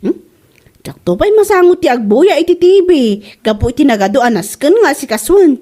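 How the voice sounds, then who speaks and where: An actress playing a nagging, teasing wife in a comedy drama. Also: female voice, high-pitched, sing-song, moderate pace, warm tone. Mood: bickering.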